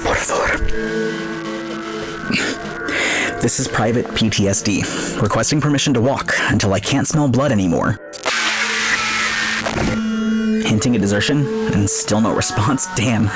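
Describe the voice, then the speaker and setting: Actor as low-voiced, weary lone army private. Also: male voice, over loud music, close to an everyday voice, rough recording. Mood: bitter, weary. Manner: halting, weary, bitterly wry. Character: weary, traumatized lone male army private, sole survivor. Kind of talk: post-apocalyptic radio drama transmission.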